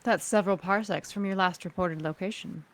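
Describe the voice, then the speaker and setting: A woman playing a cool, suspicious crime syndicate boss, speaking formally and with measured skepticism in a sci-fi table read. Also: on the low side, natural voice.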